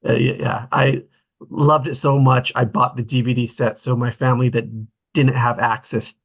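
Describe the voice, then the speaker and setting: A man with a mid-pitched, slightly husky voice hosts an informal group discussion over a call. He talks conversationally, as someone leading a casual chat among friends. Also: little pitch movement, normal speed. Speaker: host of a table read discussion.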